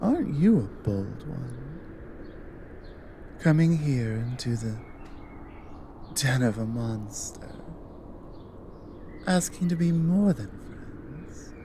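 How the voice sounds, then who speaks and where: An exiled cougar guardian acted by a woman speaks slowly in a mellow, very low female voice. She is lonely, guarded and boldly flirtatious, murmuring haltingly and slipping toward whispers in an intimate ASMR roleplay, with faint ambience behind her. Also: very animated, a little hiss or hum, exaggerated voice. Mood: taken aback. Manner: slow, halting, breathy murmurs dropping into whispers.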